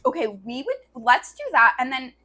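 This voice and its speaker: High, lively American woman, slangy and sweary. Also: normal speed. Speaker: podcast co-host. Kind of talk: casual chat, book review banter.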